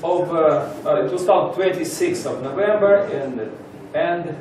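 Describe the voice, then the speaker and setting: A Russian man speaking English as a second language, giving a halting seminar introduction with many pauses. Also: a little high, background noise. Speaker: lecturer. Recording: roomy echo from far off.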